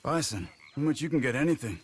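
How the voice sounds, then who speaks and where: A man's voice: medium pitch, lively intonation, fast, a little hiss or hum, lightly acted. Crusty frontier hunter played by a man. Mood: wry. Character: crusty, teasing older outdoorsman and hunter. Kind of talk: cinematic video game acting.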